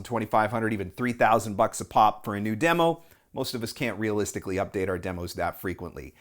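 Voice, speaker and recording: A man in his forties with a North American accent talks conversationally as a coach hosting a tutorial, stressing key words. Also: medium pitch. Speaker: voiceover coach and video host. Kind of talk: casual tutorial talk to camera. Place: small indoor room at home or office. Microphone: lapel mic.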